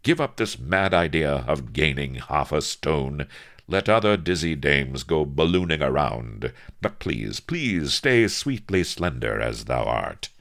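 A suave, scheming sea captain from a comic period story, played by a male narrator. He flatters with mock humility in ornate courtly language, his low voice rising and falling freely at an unhurried pace. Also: vocal fry, exaggerated voice. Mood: fervent flattery. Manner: flowery archaic courtly speech.

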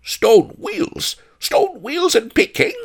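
A man voicing an excitable, greedy miser in a storybook, yelling and howling in a rasping voice. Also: extremely high pitch, slow, voice pushed up, exaggerated voice. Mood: frantic glee. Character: ugly, greedy old miser, excitable and shrieking.

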